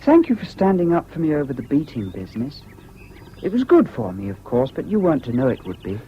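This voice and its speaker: An earnest, eccentric English schoolboy in an old radio play, with a public-school accent and swooping, animated pitch. He is polite and self-deprecating. The broadcast is muffled and hissy. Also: a little fast. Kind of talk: 1970s BBC radio drama acting.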